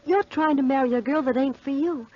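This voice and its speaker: A woman's voice: high voice, brisk, lightly acted. An actress playing a desperate, lovesick working-class young woman in a 1940s radio melodrama, pleading in slangy American speech. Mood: earnest pleading. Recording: muffled, band-limited 1940s radio recording.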